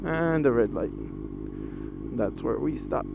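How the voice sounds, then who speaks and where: A man's voice: mid-range voice, animated, slow, creaky. A man from Brazil in his twenties, chatting casually and rambling with fillers while he rides a motorcycle through city streets. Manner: rambling, loose, full of fillers and restarts. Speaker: motorcycle vlogger. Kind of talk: casual chat while riding. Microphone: action camera mounted on the motorcycle. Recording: muffled action-cam audio with loud road and engine noise.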